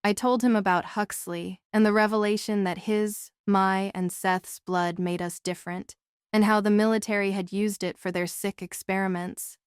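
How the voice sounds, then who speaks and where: A woman's voice: medium pitch, level tone, normal speed, clear, resonant tone, no room sound, clean studio sound. A woman narrating a novel as a scripted audiobook.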